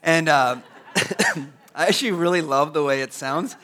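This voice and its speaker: A hoarse, raspy-voiced man in his thirties, a pastor praying and then preaching, who often stumbles and repeats words. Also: fairly high pitch, normal speed, a bit bright. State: hoarse from a cold. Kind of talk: prayer and sermon.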